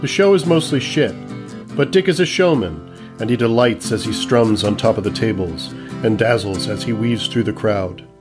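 A man's voice: on the low side, moderate pace, over music. A man narrating a scripted comedy story in the present tense.

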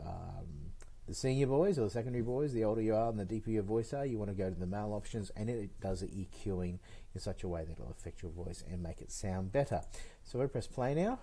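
Low, mellow, slightly husky man explaining. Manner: explanatory, conversational. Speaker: teacher giving a software tutorial. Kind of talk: informal screen-recorded tutorial.

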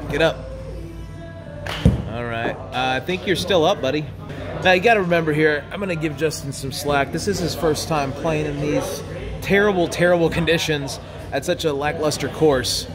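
A man's voice: on the high side, normal speed, music in the background. A man in his thirties co-hosting a golf vlog, giving playful commentary with mock sportscaster asides in an indoor golf simulator bay.

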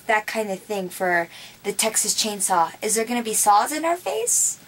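A woman's voice: mid-range voice, very animated, normal speed, a little hiss or hum. A young woman co-hosting a casual horror movie chat in a small room, chatty and asking questions. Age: young adult. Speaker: horror channel co-host.

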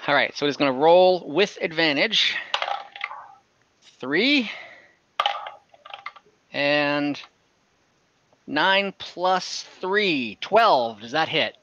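A man's voice: a little high, lively intonation, drawn-out words, long pauses, bright, forceful tone. A young man running a tabletop game over a video call, casual and hesitant with many fillers. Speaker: game master. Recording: dull lo-fi call audio.

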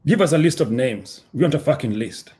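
A man's voice: mid-range voice, fairly quick, a little mellow, lightly acted. A man voicing a menacing interrogator in a dramatic story reading, coercive and accusing. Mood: angry demanding. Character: menacing interrogator, calm then yelling, demanding names.

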